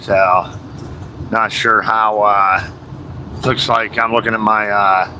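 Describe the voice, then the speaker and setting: A man streaming live from a truck cab on the highway, chatting along casually with fillers and the odd swear word. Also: level tone, slow pace, bright, forceful tone. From United States. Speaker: truck driver streaming from the road. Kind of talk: casual livestream chat while driving. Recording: constant road and engine noise.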